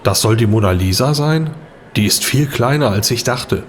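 An actor playing a grumbly, reticent husband on holiday, terse and grudging, in an audio drama. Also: male voice, medium pitch, animated, moderate pace, slight background noise, close to an everyday voice. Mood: grudging, mild. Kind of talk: German audio drama dialogue.

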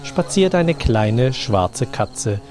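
A man's voice: mid-range voice, sing-song, drawn-out words. A man narrating a scripted German radio play as a storyteller in the present tense.

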